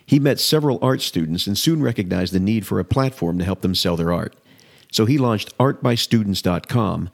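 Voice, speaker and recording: A man's voice: lowish voice, creaky. A man co-hosting a podcast, introducing the guest and asking questions in a friendly, partly scripted manner. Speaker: podcast co-host. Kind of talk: interviewer.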